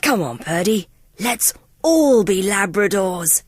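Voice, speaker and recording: A woman's voice: mid-range voice, lively intonation, slow pace, slightly rough, dry recording. A woman playing a resourceful father Dalmatian in a storybook, urging and encouraging. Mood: playful. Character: resourceful father Dalmatian dog, adult male.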